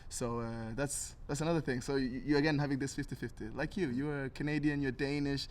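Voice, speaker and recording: A man's voice: medium pitch, fairly even intonation, fast, darkish tone. A young man from Tanzania talking casually and earnestly as an interview guest, thinking things through. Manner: reflective, earnest.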